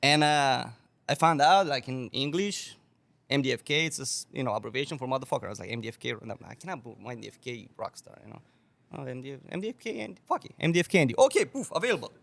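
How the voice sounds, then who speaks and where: Lively, profane man from Romania. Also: mid-range voice, quick pace, vocal fry. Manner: animated, swears a lot, laughs often. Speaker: guest bartender. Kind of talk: casual podcast storytelling.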